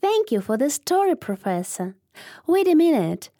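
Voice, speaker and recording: A woman's voice: high-pitched, sing-song, normal speed, warm tone, soft background music. A woman playing a chirpy young helper girl.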